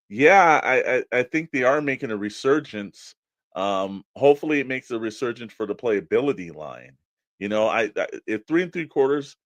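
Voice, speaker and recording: A man's voice: on the low side, no room sound. A man co-hosting a podcast, chatting casually and off the cuff, giving his opinions in a rambling, colloquial way. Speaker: podcast co-host discussing collectible toys. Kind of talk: casual unscripted opinion chat.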